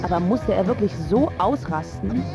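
A woman's voice: mid-range voice, lively intonation, normal speed, close to an everyday voice. An actress playing a smitten young woman from a pampered home, narrating intimately and lyrically in a radio drama. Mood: regretful. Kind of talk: scripted first-person narration in a German radio drama. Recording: slightly dull sound with music underneath. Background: prominent music.

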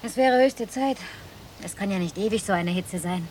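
An actress playing an affectionate, weary peasant mother in a radio drama, speaking softly to her children. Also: medium pitch, normal speed, slight background noise, close to an everyday voice. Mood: tender, concerned. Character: tender, weary peasant mother of two girls. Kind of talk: German radio drama acting.

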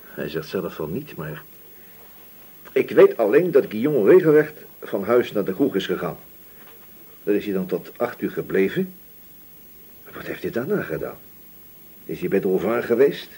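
A man acting a thoughtful, suspicious police inspector in a radio drama, speaking hesitantly with musing pauses. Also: lowish voice, sing-song, mellow, breathy edge, heavily acted, rough recording. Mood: probing, suspicious.

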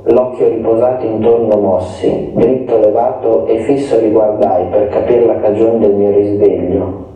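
Tender boy poet, played by a male actor, literary and measured, extremely soft-toned, distant stage pickup. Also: on the low side, close to an everyday voice. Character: tender sixteen-year-old boy reading his Christmas letter in verse. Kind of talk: poetic theatrical recitation. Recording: distant, very echoey stage, faint music.

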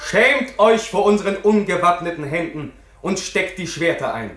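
A man's voice: sits high, animated, moderate pace, fairly bright tone. An actor in a German radio play, playing a proud, idealistic young king who proclaims solemnly. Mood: proud, proclaiming. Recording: echoey room, faint music behind.

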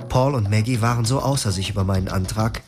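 An actor in his twenties playing a brooding young husband who narrates calmly and reflectively, in a radio play with music underneath. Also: on the low side, fast, natural voice. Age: 20s. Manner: calm, reflective, poetic narration.

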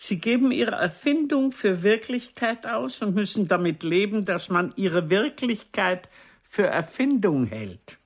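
An actress playing a haughty, mocking older stage diva in a radio play, savoring her words with theatrical disdain in a husky voice. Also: medium pitch, big pitch swings, a little slow. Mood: mocking, scornful.

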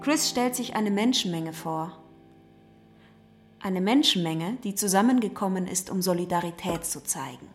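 A woman's voice: lowish voice, expressive, normal speed, soft background music. A woman giving a scripted dramatic narration in the third person, as the narrator of a stage play.

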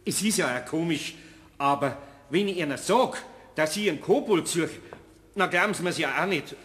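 A man's voice: fairly high pitch, animated, moderate pace, a bit bright. An actor playing a flustered, good-hearted older craftsman in a German radio play, rambling and stammering in homely Bavarian dialect. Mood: flustered, evasive. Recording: reverberant studio radio play.

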